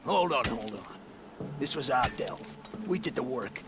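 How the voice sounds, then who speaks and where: A man's voice: medium pitch, lively intonation, unhurried, over music, close to an everyday voice. A man playing a pushy scavenger in a video game, haggling bluntly. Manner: blunt, bargaining. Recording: clear background noise.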